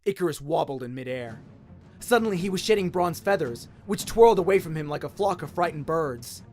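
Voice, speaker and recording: A man's voice: fairly high pitch, lively intonation, a little fast, a little mellow. A man narrating a scripted story dramatically, in the manner of an audio drama. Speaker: narrator, voice actor. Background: music and sound effects.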